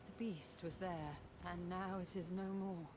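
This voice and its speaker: An actress playing a grave, knowing sorceress in a video game, speaking in measured, archaic, formal phrases. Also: female voice, on the low side, steady tone, noisy background, lightly acted. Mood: grave, somber. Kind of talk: dramatic video game voice acting. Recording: music behind the voice.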